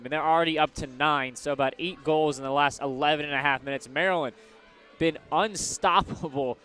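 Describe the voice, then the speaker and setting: Clear-voiced male color commentator, slightly high. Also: fairly bright tone. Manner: conversational, explanatory. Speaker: radio color commentator for college lacrosse. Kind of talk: live sports analysis between plays.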